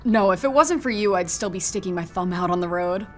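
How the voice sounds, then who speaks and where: A woman's voice: medium pitch, brisk, faint music behind, lightly acted. A young actress playing a breezy but guarded teenage girl hitchhiker in a naturalistic audio drama, casual and a little sheepish.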